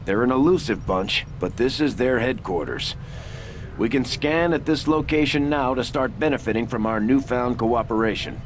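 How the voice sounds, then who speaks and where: A man's voice: mid-range voice, normal speed, background noise, close to an everyday voice. A man playing a dutiful military officer in a video game, giving a formal, businesslike briefing.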